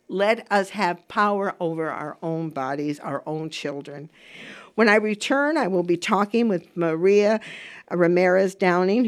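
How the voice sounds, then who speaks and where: A woman's voice: lowish voice, darkish tone. A woman hosting a radio show, talking informally and emphatically, rambling through opinions and repeating phrases for weight. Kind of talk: informal radio monologue with personal opinion and anecdote. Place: radio studio.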